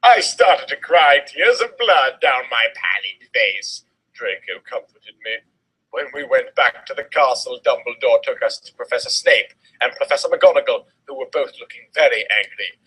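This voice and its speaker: A man's voice: mid-range voice, sing-song, moderate pace, bright, forceful tone, slightly rough, low-quality recording. A man narrating a fan fiction story as a theatrical, exaggerated comedic dramatic reading. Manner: melodramatic, exaggerated.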